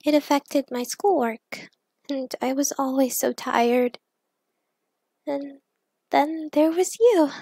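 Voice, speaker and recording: A woman voicing a young superheroine in an audio story, playful but anxious, confiding in an expressive, earnest way. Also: high voice, slow, long pauses, dry recording, voice pushed up. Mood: confiding, wistful.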